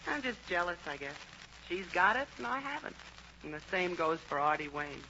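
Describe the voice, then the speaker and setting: Sweet, wistful radio-comedy heroine. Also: female voice, lowish voice, very animated, normal speed, pushed and bright, exaggerated voice. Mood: downhearted. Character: sweet, wistful, self-doubting young working woman. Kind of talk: 1940s-50s radio comedy acting. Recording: muffled, noisy old radio recording.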